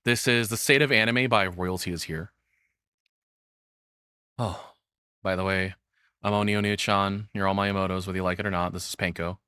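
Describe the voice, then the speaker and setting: A man's voice: low-pitched, fairly even intonation, normal speed, pauses often, sharp tone, clear, resonant tone, no room sound, clean studio sound. A man in his thirties giving casual, rambling reaction commentary as the host, swearing freely. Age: 30s.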